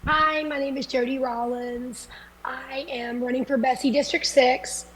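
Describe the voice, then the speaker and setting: Candidate, woman from Louisiana, sick, high voice, earnest and rambling, on a video call. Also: flat delivery, unhurried, a bit bright, a little hiss or hum, rough recording. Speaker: political candidate. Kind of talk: forum answers.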